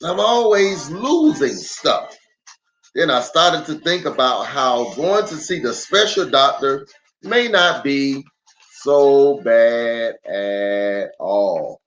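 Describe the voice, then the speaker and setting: Slow, animated male storyteller in echoey room. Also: medium pitch. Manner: dramatic, deliberate pauses. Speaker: storyteller, pastor. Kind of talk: expressive children's story read-aloud. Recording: roomy echo, faint music.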